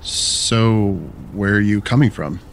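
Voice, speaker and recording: An actor playing an easygoing man on a date, talking gently and reassuringly in a naturalistic fiction podcast. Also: lowish voice, slow pace, slight background noise. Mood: flirty, easygoing.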